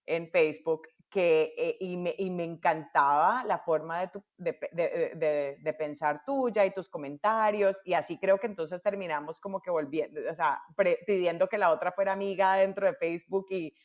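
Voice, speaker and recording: A woman hosts a casual interview in a lowish voice, stopping and restarting her sentences often and dropping English words into her speech. The sound is dull and lo-fi. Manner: halting, many restarts, mixes English words. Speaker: podcast host. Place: lives in Dallas, United States.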